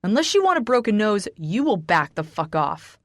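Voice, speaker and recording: A woman reading an audiobook, voicing a hot-tempered young man who shouts and swears harshly. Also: medium pitch, sing-song, brisk, dry recording, studio quality, voice pushed up. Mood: threatening. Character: angry, volatile young man.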